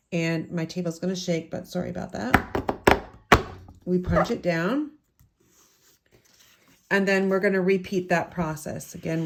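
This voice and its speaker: A woman with a slightly low, raspy voice, still getting over a head cold. She talks casually and explains each step of a craft tutorial as she works. She is from the United States. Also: slight background noise. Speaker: card-making instructor. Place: home craft room with open windows.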